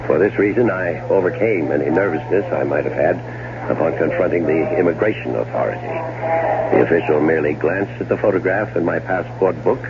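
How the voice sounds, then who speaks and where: An actor in a 1970s radio drama playing a fastidious, murderous husband who narrates with dry, precise urbanity. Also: male voice, low voice, heavy background noise. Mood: cool, controlled. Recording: muffled old radio broadcast recording.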